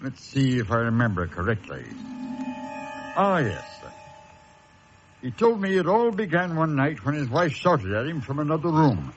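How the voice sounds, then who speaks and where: An actor playing a reserved, weary man in a radio drama, polite and measured, quietly resolute. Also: a little high, sing-song. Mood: reserved, reflective. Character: reserved former teacher telling a tale, weary henpecked husband. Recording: muffled audio with music behind.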